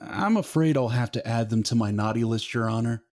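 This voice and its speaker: A man's voice: mid-range voice, a little fast, very noisy, close to an everyday voice. A man playing a jolly old prosecuting attorney in an audio drama, smug and formal. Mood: satisfied.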